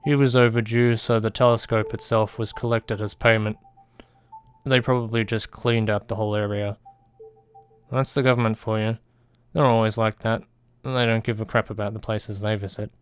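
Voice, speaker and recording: A man voicing a cynical male information dealer, calm and cutting, in audiobook dialogue. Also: on the low side, moderate pace, smooth, close to an everyday voice.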